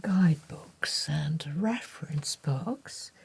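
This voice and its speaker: A woman playing a gentle, courteous bookshop letter-writer in an ASMR roleplay, whispering breathily with slow, formal, deliberate phrasing. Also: low voice, expressive, gravelly, slightly rough, heavily acted. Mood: welcoming, hushed.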